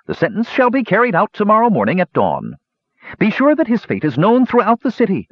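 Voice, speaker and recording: A man's voice: high voice, wildly swooping pitch, brisk, no echo, pitched above the performer's own voice, exaggerated voice. A man voicing a cruel, smiling woman warlord who passes judgment in a cold, mocking, commanding way. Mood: sneering. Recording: dull lo-fi recording.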